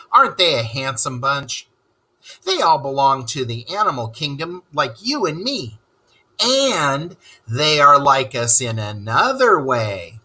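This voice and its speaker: A man's voice: a little high, bright, forceful tone. A man teaching a children's read-aloud lesson in a playful storytelling way, speaking to young listeners as a voice-over on slides.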